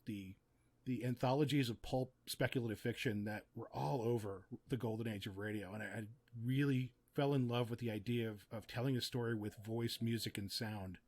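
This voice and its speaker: Man, middle-aged, podcast guest, medium pitch, thoughtful, articulate, conversational. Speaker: podcast guest, audio drama writer and producer. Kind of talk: casual, reflective interview talk.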